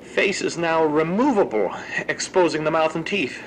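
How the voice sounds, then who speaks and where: A man's voice: fairly high pitch, expressive, normal speed, slight background noise, close to an everyday voice, rough recording. A man playing a researcher who logs grim experiments in a terse, clinical way for a horror audio drama. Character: male researcher coolly logging grim monster experiments. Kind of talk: scripted audio log narration in horror fan drama.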